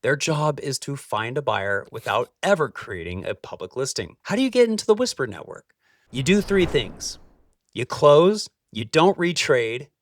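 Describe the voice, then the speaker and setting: A man hosting a scripted explainer, speaking straight to the listener in punchy, emphatic short phrases with deliberate pauses. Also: mid-range voice, no echo, studio quality, recorded from a distance. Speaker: host, capital-raising adviser.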